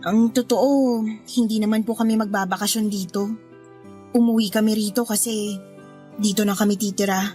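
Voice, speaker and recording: An actress playing a stubborn, fed-up young adult daughter in a radio drama, with a snippy, complaining manner. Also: female voice, mid-range voice, fairly even intonation, normal speed, pauses often, dark tone, soft background music, lightly acted. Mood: weary, resentful.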